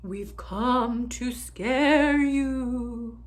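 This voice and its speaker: A woman voicing a male talking pumpkin head from a children's storybook, trying to scare with loud, drawn-out cries. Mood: plaintive. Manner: loud, drawn-out, high exclamations. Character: male talking pumpkin head, would-be scary, easily deflated.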